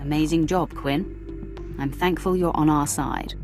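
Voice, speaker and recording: A woman voicing a commanding, dryly confident female Sith warrior in a video game, with a measured, cool delivery. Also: low voice, steady tone, over music. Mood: composed approval. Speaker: player character in a video game. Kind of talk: scripted video game dialogue acting.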